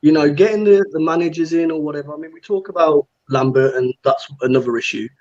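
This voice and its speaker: A man's voice: a little high, fast, darkish tone, muffled recording. A man in his thirties, a fan guest on a football chat show, giving blunt, rambling opinions. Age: 30s.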